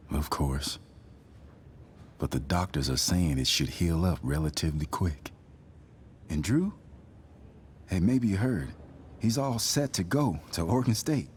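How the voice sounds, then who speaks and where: A man playing an earnest, worried middle-aged working father in a video game, speaking in a gentle, folksy, halting way. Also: low-pitched, lively intonation, a little hiss or hum. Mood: friendly. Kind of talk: naturalistic video game voice acting.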